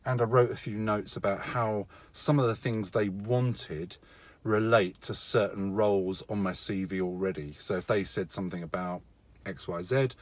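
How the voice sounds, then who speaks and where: A man's voice: fairly low pitch, normal speed, no room sound. A middle-aged man talking casually and matter-of-factly, as a contractor sharing career advice, leaning on key words for emphasis.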